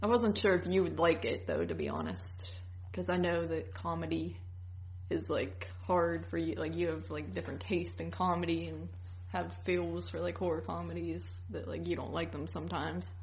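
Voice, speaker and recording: Lower-pitched woman in casual podcast chat. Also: moderate pace, a little hiss or hum. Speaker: podcast co-host.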